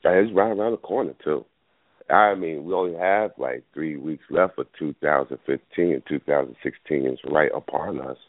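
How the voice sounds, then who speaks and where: Deep-voiced male talk radio host, loose and rambling, a bit under the weather, heard over a phone line. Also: moderate pace, no echo. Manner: rambling, thinks aloud with many fillers. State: battling a cold. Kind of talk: casual call-in radio talk. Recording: tinny phone line, dry.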